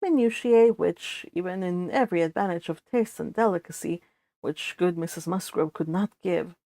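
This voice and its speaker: A woman hosting a book read-along, moving between casual, chatty talk and expressive reading aloud, with informal asides. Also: lowish voice, normal speed, dry recording. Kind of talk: casual livestream chat and expressive audiobook-style reading aloud.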